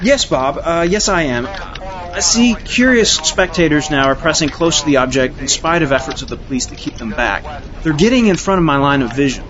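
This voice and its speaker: A man's voice: a little high, expressive, close to an everyday voice. A man playing a rambling, easily sidetracked on-scene reporter in a comedy radio news parody. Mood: flustered. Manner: halting, repetitive, easily sidetracked. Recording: noisy lo-fi outdoor field report.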